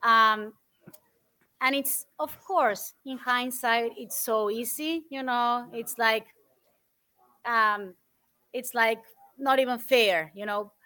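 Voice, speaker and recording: Woman in her forties, from Argentina, high bright voice, animated storytelling, interview guest. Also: slow, pauses often. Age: 40s. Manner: animated, thoughtful, many fillers. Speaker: guest speaker.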